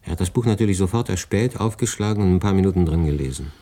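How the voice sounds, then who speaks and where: Casting: sardonic middle-aged department boss, deep and mellow, dryly paternal, exacting, radio drama. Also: male voice, quick pace. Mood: dry exasperation. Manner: dry, ironic, exacting. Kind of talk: German radio play acting.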